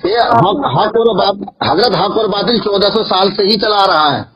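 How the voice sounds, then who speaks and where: A male Islamic cleric debating religion over a recorded phone call, insistent and argumentative, pressing his points by repeating them, with a husky voice. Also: sits high, moderate pace, crowd noise.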